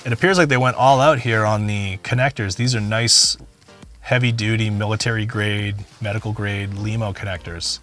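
A man in his forties talks in a fairly low voice. He explains equipment conversationally, like a host reviewing gear for viewers. Faint music sits behind him. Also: bright, forceful tone. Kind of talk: casual gear review to camera. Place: home music studio room.

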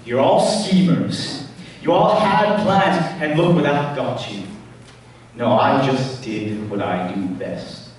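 A young man performing a sinister, taunting, unhinged clown villain in a theatrical stage monologue, with menacing dramatic pauses and sudden swells. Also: fairly high pitch. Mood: scornful, scheming. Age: teenager or young adult. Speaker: talent show performer. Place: school auditorium stage. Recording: echoey hall from far off, faint noise.

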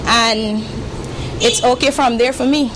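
A twenty-two-year-old woman, answering interview questions heartfelt and unhurried into a handheld mic. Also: on the high side, fairly even intonation, background noise. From Guyana. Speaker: aspiring chemist, interviewee.

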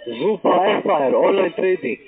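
A man with a high voice, not a native speaker, pushes a sale in a casual way as a call-center agent. The phone line is thin, with faint music behind it. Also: animated, measured pace. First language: not German. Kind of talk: phone sales conversation.

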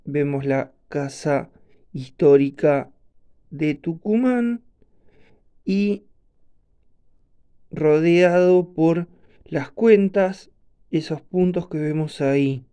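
A man with an Argentine Spanish accent hosting an informal explainer video, speaking haltingly and often repeating himself. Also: a little high, mellow, clear, resonant tone. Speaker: coin channel host. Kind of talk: informal explainer narration to camera.

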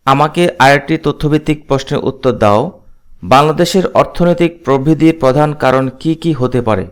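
A man's voice: on the high side, a little fast, smooth. A man presenting a casual demo and asking questions.

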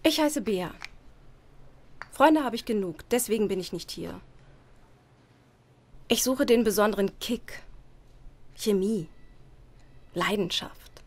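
An actress playing a self-critical, thrill-hungry woman with a husky voice in a radio drama. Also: mid-range voice, expressive, slow pace, long pauses. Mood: brash, flirty. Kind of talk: German radio drama acting, monologue.

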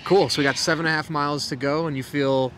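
A man's voice: a little high, fairly even intonation, fast, a bit bright. Casual male vlog host, noisy outdoor recording. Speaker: host and interviewer. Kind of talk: casual vlog chat and interviewing. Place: outdoors at a rest stop by the beach. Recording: clear outdoor urban and vehicle noise.

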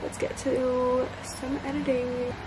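A woman's voice: sits high, level tone, slow, background noise. Bubbly young woman vlogging from bedroom. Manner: bubbly, chatty, many fillers. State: congested. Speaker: vlogger and college student. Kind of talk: casual vlog chat to camera. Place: small bedroom indoors. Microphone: camera at arm's length.

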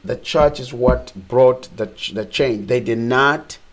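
A man's voice: medium pitch, unhurried, a little hiss or hum. A middle-aged man from Uganda, a missionary guest on a discussion panel, speaking earnestly and growing emphatic. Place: small indoor studio set.

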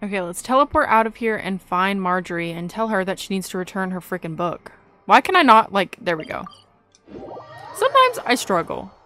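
Chatty young woman with animated pitch. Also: a little fast. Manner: chatty, reactive, playfully incredulous. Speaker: gaming YouTuber. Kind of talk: casual gameplay commentary. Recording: game music behind the voice.

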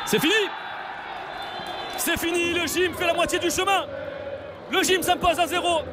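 A man calling a football match live, shouting excited play-by-play. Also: very high-pitched, normal speed, noisy background. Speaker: match commentator. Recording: loud stadium crowd behind.

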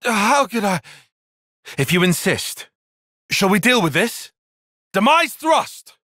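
Brainy young male fighter, video game battle barks, forceful bright tone, wide swings in pitch, clipped commands. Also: very animated, takes it slowly, no echo, clean studio sound, exaggerated voice. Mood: battle shouting. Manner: clipped, commanding short call-outs. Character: brainy young male tactician fighter, composed but intense.

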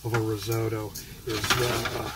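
A man hosting a home cooking show, explaining each step in a relaxed, halting way with many fillers. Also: fairly low pitch, very noisy. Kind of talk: casual cooking demonstration. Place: home kitchen, indoors.

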